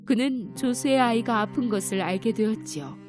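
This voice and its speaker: Gentle, slightly high female narrator for a kids' story, over music. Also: normal speed.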